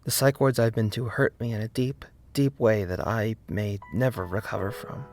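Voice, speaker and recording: A man narrating a scripted video essay in an earnest, deliberate way, with emphasis on key words. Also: lowish voice, darkish tone. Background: faint background music.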